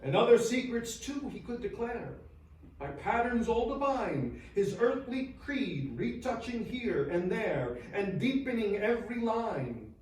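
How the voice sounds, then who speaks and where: Slow, high-pitched grieving churchman, echoing. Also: sing-song, a little mellow, slight background noise. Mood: yearning. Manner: solemn, declamatory, lingering on phrases. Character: grieving churchman bidding a dear lost friend farewell. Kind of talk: dramatic stage recitation of verse and sermon. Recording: distant, very echoey live hall sound.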